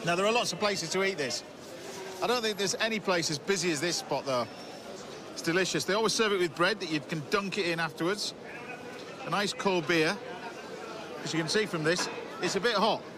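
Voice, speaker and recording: A man's voice: sits high, brisk, long pauses, a crowd in the background. A man, a chef and TV host, talking casually and enthusiastically to camera about food while out on a city street. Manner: chatty, enthusiastic, food-focused asides. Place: busy city street outdoors. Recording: outdoor location sound with street noise.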